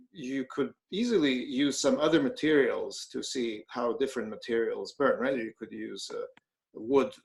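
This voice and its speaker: A man acting as a judge, putting unscripted, halting questions that often check for agreement. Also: mid-range voice, creaky.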